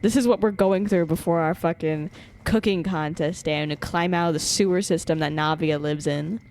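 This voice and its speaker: A woman's voice: on the low side, fairly even intonation, moderate pace, darkish tone, slight background noise. A woman livestreaming a game with casual, playfully sarcastic, sweary commentary, reacting and chatting to viewers. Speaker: live streamer. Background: game music.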